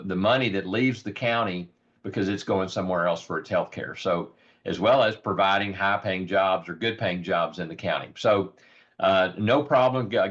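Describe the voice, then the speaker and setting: Hoarse low-voiced man, muffled audio. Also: fairly bright tone. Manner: earnest, many ums and repeated words. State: raspy, hoarse voice. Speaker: rural health program leader. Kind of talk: informal virtual presentation. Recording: dull lo-fi audio.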